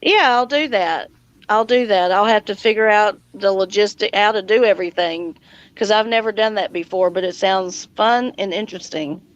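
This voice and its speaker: A woman's voice: medium pitch, normal speed. A woman hosts a casual livestream chat in a mid-range voice. The sound is slightly muffled and lo-fi, as heard over an online group call. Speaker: livestream host. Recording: dull, lo-fi stream audio.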